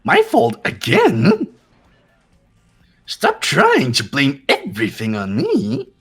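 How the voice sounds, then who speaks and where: Picture a villainous little bear mascot for a game dub, pitched way up and wildly animated. The delivery is childish, teasing and exaggerated, gleefully mocking whoever listens. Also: male voice, slow, creaky, husky, soft background music, heavily acted. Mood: mock innocent, teasing. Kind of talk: fan playthrough character voice acting.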